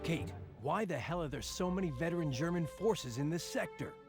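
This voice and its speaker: War game lead: hot-headed commander, slightly high, lively delivery, scored with music. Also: male voice, a little fast. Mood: angry, impatient. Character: impatient, hot-headed American general. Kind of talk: cutscene drama.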